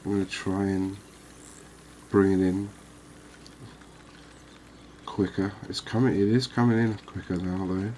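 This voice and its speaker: Guy doing asmr fishing game commentary, really flat even voice, slow, bit of noise behind him. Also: deep voice, rough recording. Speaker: gaming video host.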